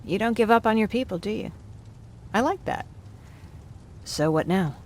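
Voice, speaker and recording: An actress playing a warm, joking young survivor in a video game, chatty and quick to apologise for herself. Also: female voice, medium pitch, expressive, slight background noise, lightly acted. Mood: relieved, teasing. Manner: chatty, self-deprecating, apologetic. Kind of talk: video game dialogue acting.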